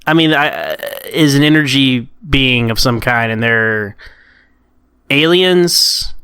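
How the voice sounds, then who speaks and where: Bright, clear-voiced American man chatting. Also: mid-range voice, takes it slowly, dry recording, studio quality. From United States. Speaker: podcast co-host. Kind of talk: casual discussion and commentary.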